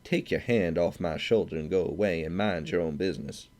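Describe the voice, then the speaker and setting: A man's voice: low-pitched, fairly quick, a little mellow, natural voice. A man voicing a slim gambler who speaks coolly and with kindly condescension. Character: slim, calm, patronizing gambler.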